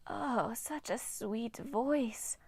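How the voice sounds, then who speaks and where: A woman voicing a bitter, ancient female water nymph in a slow, hissing whisper. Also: a little high, creaky, darkish tone, husky, no room sound, heavily acted. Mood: menacing.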